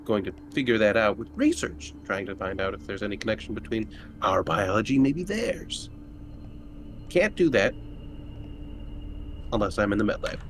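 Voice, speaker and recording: A man's voice: lowish voice, expressive, normal speed, long pauses. A man playing a tabletop roleplaying game, talking in character with casual banter. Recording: noisy room with background ambience.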